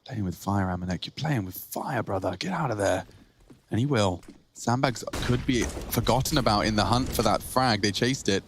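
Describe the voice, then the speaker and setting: A man with a British accent giving lively play-by-play commentary on an esports match. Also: lowish voice, normal speed, slight background noise.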